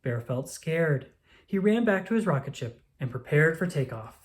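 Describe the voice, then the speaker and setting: A storyteller reading a children's picture book aloud with expressive narration. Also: mid-range voice, moderate pace.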